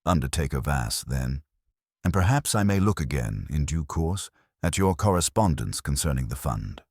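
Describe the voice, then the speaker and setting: A man's voice: rumbling low, very animated, moderate pace, darkish tone, husky, no room sound, studio quality, heavily acted. A man voicing a courteous, shrewd police inspector in a mystery story, polite and dryly understated.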